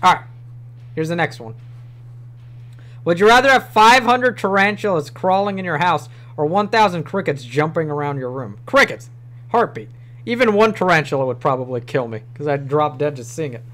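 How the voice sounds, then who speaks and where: A man's voice: on the high side, animated, normal speed, fairly bright tone. A young man with a New York accent, chatting casually on a livestream from a small room, rambling and swearing offhandedly. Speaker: streamer.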